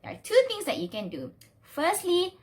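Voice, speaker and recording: A woman's voice: very high voice, huge pitch swings, normal speed. A woman giving an instructional student presentation in a playful, upbeat manner, posing questions to her listeners.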